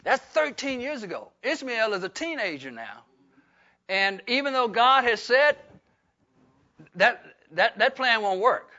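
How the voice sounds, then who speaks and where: A man's voice: extremely high pitch, very animated, a little slow, bright, forceful tone. An older man, preaching a folksy Bible-study sermon full of fillers and restarts. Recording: dull lo-fi recording.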